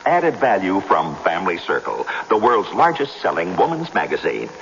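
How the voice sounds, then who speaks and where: A man's voice: low voice, wildly swooping pitch, normal speed, a bit bright, slight background noise. A man announcing a scripted commercial in a 1970s radio advertising style. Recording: reverberant, dull old radio recording.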